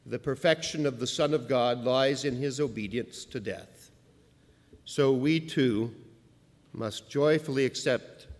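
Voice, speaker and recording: Slow, solemn man in a large hall. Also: mid-range voice. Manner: measured, solemn delivery. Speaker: conference speaker. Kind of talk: reading a formal religious address. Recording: long hall reverb.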